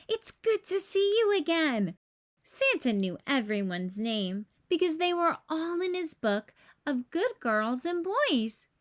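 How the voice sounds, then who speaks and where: Female children's storybook reader: high voice, slow careful pace, expressive delivery. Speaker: teacher-style read-aloud host.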